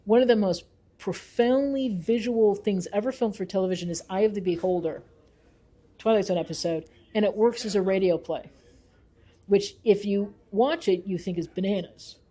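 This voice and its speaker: A woman researcher talks in an interview with a mellow, soft-edged voice. She explains her views earnestly and leans on key points with emphasis, in a thoughtful, conversational way. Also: mid-range voice, moderate pace. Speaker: researcher and author being interviewed.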